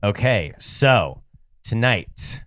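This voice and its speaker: A man's voice: on the low side, expressive, extremely slow, heavy background noise. A man co-hosting a podcast in casual, laid-back and playful chat. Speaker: podcast co-host.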